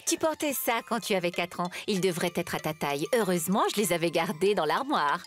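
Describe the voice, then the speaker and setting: A woman's voice: lowish voice, lively intonation, fairly quick, soft background music, natural voice. A woman playing a caring, practical mother of a young boy in a French children's cartoon. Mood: breezy, matter-of-fact.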